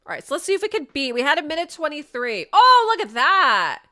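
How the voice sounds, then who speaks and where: Lively, bright-voiced young woman gamer. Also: high voice, fairly quick. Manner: chatty and upbeat, talks straight to viewers. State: sniffly from a sinus infection. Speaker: gaming content creator. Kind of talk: casual gameplay commentary.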